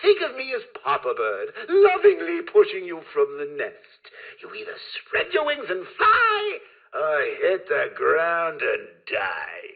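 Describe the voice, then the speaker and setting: A man's voice: fairly high pitch, huge pitch swings, a little slow, vocal fry, phone-quality sound, full character voice. A man voicing a manic, mocking male clown villain in a video game, cackling and swinging his delivery wildly. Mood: taunting. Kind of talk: game voice lines.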